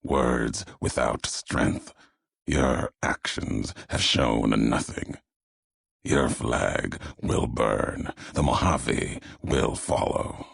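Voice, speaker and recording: An actor playing a brooding, vengeful wasteland courier in a video game, grave and world-weary, speaking solemnly and deliberately in clipped, cryptic phrases with a gravelly voice. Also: male voice, extremely low pitch, fairly bright tone, very echoey, heavily acted. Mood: grave challenge.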